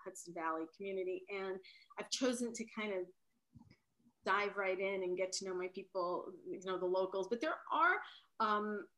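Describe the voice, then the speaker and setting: A woman's voice: mid-range voice, normal speed, pushed and bright, poor audio. A woman novelist whose first language is Italian, talking casually in an interview, often trailing off and rephrasing.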